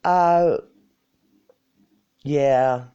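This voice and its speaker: A woman's voice: very deep voice, very slow, mellow, smooth, highs cut off. A woman in her sixties with a Greek South African accent, chatting playfully and mocking herself on a home live stream. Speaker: vlogger. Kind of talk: casual live-stream chat.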